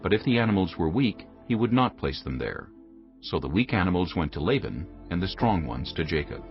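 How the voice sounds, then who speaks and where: Deep-voiced, expressive male Bible narrator. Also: a little fast, muffled recording. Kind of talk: scripted dramatized Bible narration. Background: background music.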